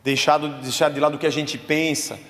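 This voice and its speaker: A man's voice: on the high side, steady tone, fairly quick, a bit bright. A Brazilian man, a pastor, delivering a conversational sermon in a church, mixing Scripture with casual slang. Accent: Brazilian Portuguese. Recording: long church reverb.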